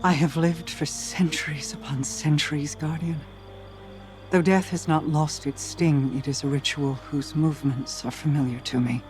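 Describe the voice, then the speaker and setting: An actor playing an ancient, wise, sorrowful immortal counselor in a video game cutscene. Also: male voice, on the high side, steady tone, moderate pace, a little mellow, soft background music, natural voice. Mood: sorrowful, reflective. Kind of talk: cinematic video game acting.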